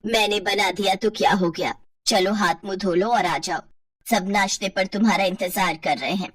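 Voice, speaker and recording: Kindly but bossy village saas played by female narrator, middle-aged, rough-edged voice, affectionate scolding, slightly off-mic. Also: mid-range voice, normal speed, no echo, far from the mic, pitched below the performer's own voice, lightly acted. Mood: warm, brisk.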